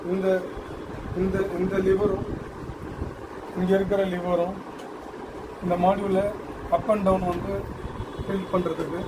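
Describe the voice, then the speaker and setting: A man with a notably high voice and a flat delivery walks through a product demonstration. He, drops in English terms and often restarts phrases in a halting way. Steady, clearly audible noise sits behind him. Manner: halting, repeats words while explaining.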